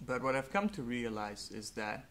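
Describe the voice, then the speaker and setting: A young man, a native Hungarian speaker, speaking slowly and earnestly in a reflective personal monologue with faint background noise. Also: mid-range voice, big pitch swings, a bit bright. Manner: slow, thoughtful, earnest. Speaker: vlogger.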